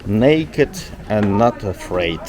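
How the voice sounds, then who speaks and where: A man talking casually as a journalist vlogger on a walk through an old town street, rambling and chatty, often pausing on filler words. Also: fairly low pitch, animated, crowd noise. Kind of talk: casual walking vlog chat.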